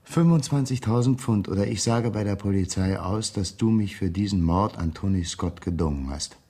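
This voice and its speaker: A radio-drama blackmailer, a cold ex-convict with a low, husky voice. He presses his threats in a measured, insistent way, menacing and sure of his hold. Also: warm tone. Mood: cold threatening.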